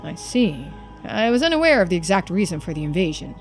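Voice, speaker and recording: Girl doing a calm formal detective type from a mystery game, measured, lowered a bit, music faintly behind. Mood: thoughtful. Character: composed, formal investigator reasoning through a mystery.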